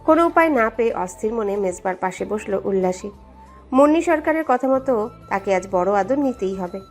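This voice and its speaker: A woman's voice: medium pitch, animated, normal speed. A woman narrating a scripted story in a dramatic storytelling style. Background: background music.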